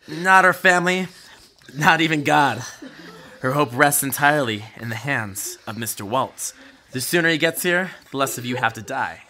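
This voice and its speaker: A forceful, slightly high-voiced gunman, acted by a man for an audio drama. He is cruel and taunting, laying out threats with mock courtesy and theatrical deliberation. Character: cruel, taunting male gunman leading a hostage robbery.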